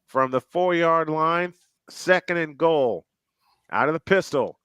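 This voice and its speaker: A man calling a football game play by play, getting excitable and jumping up on big plays, and trading banter with co-hosts. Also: fairly high pitch, unhurried, clear, resonant tone, no room sound. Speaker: play-by-play commentator. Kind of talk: live game commentary with banter.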